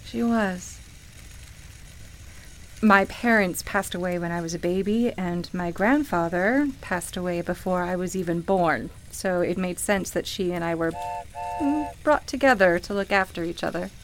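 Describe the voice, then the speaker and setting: A woman's voice: lowish voice, a little slow, slight background noise, low-quality recording. An actress in a radio play playing a sincere, wistful woman who reminisces hesitantly about her life. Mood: fond, nostalgic. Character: wistful woman grieving her beloved grandmother at Christmas. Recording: music playing behind the voice. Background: music.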